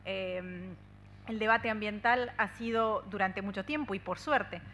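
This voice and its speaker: A woman's voice: medium pitch, measured pace, bright, forceful tone, slight background noise. A woman in her thirties from Argentina with an Argentine Spanish accent, giving a conference talk at a table microphone, earnest and fluent with many "eh" fillers. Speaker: union policy adviser speaking on a panel. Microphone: desk microphone on a stand.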